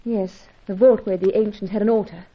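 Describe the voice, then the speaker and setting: A woman's voice: medium pitch, steady tone, a little fast, natural voice. An actress playing an ingenuous young woman, a scientist's devoted daughter, in a radio adventure serial, earnest, anxious and dramatic. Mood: earnest, confiding. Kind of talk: old-time radio adventure drama acting. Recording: dull, echoey vintage radio recording.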